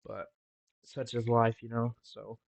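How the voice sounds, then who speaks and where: A young man talking casually over a game with a congested, stuffy nose and a relaxed, rambling manner. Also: mid-range voice, a little slow, vocal fry, dry recording. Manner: relaxed, rambling with filler ums. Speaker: gaming channel host. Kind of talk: casual gameplay commentary.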